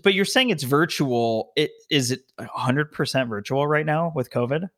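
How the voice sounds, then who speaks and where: A man's voice: fairly high pitch, moderate pace, a bit bright. A man hosting a podcast interview, talking in an upbeat, chatty way. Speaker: podcast host. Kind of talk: casual interview chat.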